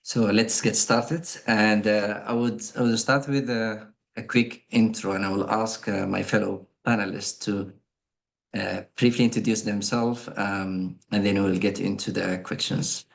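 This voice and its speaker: A man moderating a panel, introducing the discussion in a halting, hesitant delivery. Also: fairly low pitch, fairly even intonation, gravelly.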